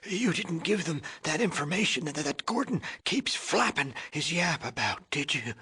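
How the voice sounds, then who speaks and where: A man voicing a cantankerous, wisecracking old ghoul in a video game, with a raspy, wheezy voice and a folksy, crotchety drawl. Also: on the high side, expressive, moderate pace, bright, forceful tone, full character voice. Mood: grumpy, alarmed. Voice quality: raspy, gravelly, wheezy with a cough. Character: cantankerous, wisecracking old male ghoul, an irradiated undead survivor. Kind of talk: video game character acting.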